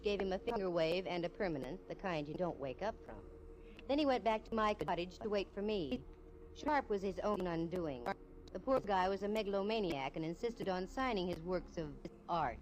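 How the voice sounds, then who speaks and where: A woman's voice: mid-range voice, a little mellow, lightly acted. A woman playing a wisecracking private detective, narrating in breezy, slangy 1950s radio-drama style. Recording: noisy old radio recording.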